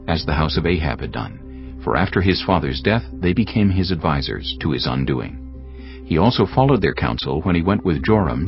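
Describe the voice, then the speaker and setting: A man narrating scripture in a measured, solemn reading. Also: a bass voice, lo-fi sound.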